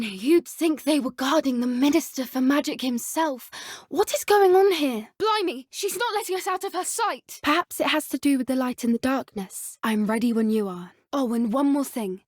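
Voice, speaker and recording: A woman's voice: sits high, dry recording, clean studio sound. A woman voicing an earnest, plucky teenage witch in a video game, with a British accent and a polite, slightly old-fashioned way of talking. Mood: alarmed, then concerned, earnest. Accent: British English. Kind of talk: scripted game character dialogue.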